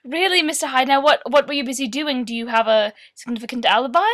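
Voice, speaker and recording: Radio play host female actor: high voice, courteous, inquisitive, easily flustered. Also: fast talker, a bit bright. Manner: formal, courteous, hesitant when taken aback.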